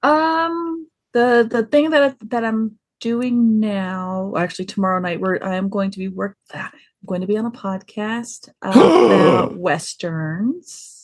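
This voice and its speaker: Female panellist, mid-pitched, dry close sound, scattered and self-deprecating. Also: measured pace, dry recording. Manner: scattered, self-deprecating, stumbles over words. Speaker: podcast panellist. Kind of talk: casual chat.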